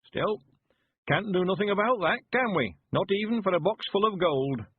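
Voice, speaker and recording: A man voicing a cheerful, frail 91-year-old man, quavering and slow, rustic and stubborn. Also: high voice, expressive, a little hiss or hum, voice pushed up. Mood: jovial. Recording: phone-like lo-fi sound.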